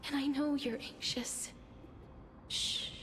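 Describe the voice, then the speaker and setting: Soft, high-voiced solemn maiden of death. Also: female voice, steady tone, takes it slowly, background noise. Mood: tender, sorrowful. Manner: soft, breathy, often whispering. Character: gentle solemn young woman who tends to death. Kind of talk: dramatic video game voice acting. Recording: game music behind the voice.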